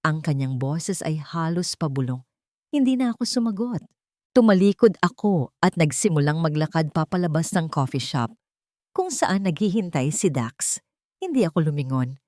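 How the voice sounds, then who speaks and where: A woman narrates a dramatic audiobook story in the first person. Her voice sits low, and the sound is dry with hardly any room in it. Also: very animated, normal speed, a little mellow, dry recording.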